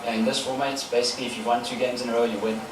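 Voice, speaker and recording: A man's voice: medium pitch, level tone, very fast. Man, recorded outdoors from afar. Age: 30s. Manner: chatty, many fillers and restarts. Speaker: paintball team player. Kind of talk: casual interview answers. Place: outdoors at a paintball field. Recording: echoey, far from the mic, with noise.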